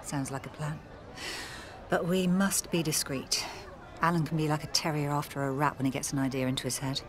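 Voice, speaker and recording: A woman's voice: sits low, fairly even intonation, fairly quick, noisy background, natural voice. An actress playing a guarded middle-aged village pub landlady with a British accent in a radio soap. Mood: wry, flirtatious. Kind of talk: naturalistic radio soap acting.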